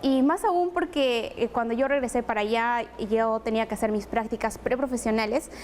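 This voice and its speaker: A woman's voice: on the high side, fairly quick, slight background noise. A woman presenter from Apurímac, Peru, whose first language is Quechua, telling her own story earnestly in an interview. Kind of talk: personal interview testimony.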